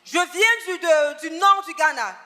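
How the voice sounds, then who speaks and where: Male church interpreter, very high and piercingly bright, quick restarts, reverberant hall with music. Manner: echoes each line, stumbles and self-corrects, joins the shouting. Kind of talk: live sermon interpretation.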